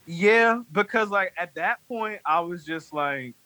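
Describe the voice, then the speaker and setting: A man in his late twenties, a podcast guest, telling stories in a rambling, animated way, full of "like". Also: high voice, slow pace, no echo. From North Carolina. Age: 20s. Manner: rambling, heavy use of "like", animated storytelling. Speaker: podcast guest, music manager. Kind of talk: casual chat.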